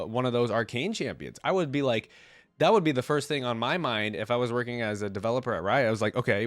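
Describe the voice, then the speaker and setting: Low-voiced man with a cold, dry close sound. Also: no echo. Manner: informal, chatty, rambling. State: congested with a cold. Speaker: video creator, reaction host. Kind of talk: casual rambling chat to viewers about a game.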